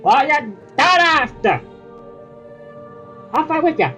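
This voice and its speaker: A woman's voice: high voice, big pitch swings, slow pace, over music, full character voice. A woman doing a brash young dragonfly sidekick. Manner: brash, shouty, irreverent.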